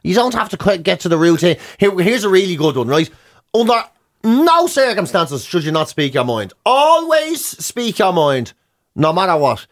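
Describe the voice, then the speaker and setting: Irish guy doing comic radio banter, rambly mock rants, kinda high voice, super animated. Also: moderate pace. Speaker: radio and podcast host.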